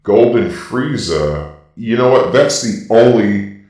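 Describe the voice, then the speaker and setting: A man voicing a robotic dark-lord villain through a robot voice filter, giving opinionated, emphatic casual commentary. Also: on the low side, animated, slow pace. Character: Darth Vader-like robotic villain explaining things. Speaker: video host ranking anime transformations. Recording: very echoey, distant from the mic.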